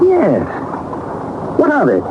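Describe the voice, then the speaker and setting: An actor playing an anxious young British gentleman explorer, narrating his journal formally and deliberately in a 1960s radio serial. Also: high voice, wildly swooping pitch, exaggerated voice. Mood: alarmed awe. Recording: noisy, muffled 1960s radio broadcast.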